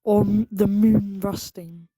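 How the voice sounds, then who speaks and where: A woman's voice: mid-range voice, fairly even intonation, slow pace, crowd noise. An eighteen-year-old woman with a childlike voice, calling into a debate and searching for her words. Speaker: caller.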